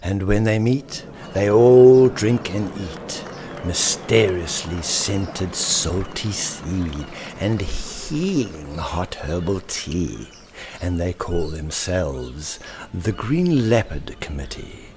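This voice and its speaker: A man reading a story as its author, theatrically, with dramatic drawn-out phrasing that drops into hushed whispers. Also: sits low, expressive, noisy background.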